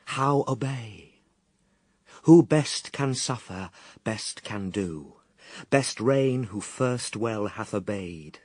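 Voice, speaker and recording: A man's voice: lowish voice, animated, a little mellow, dry recording, clean studio sound. An actor playing the Son of God as a grave, patient and steadfast man, declaiming solemn verse in a radio drama. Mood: patient, firm. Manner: measured, declamatory, morally firm.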